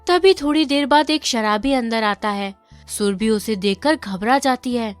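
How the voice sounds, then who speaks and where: A woman's voice: fairly high pitch, unhurried, faint music behind. A woman narrating a scripted horror cartoon story.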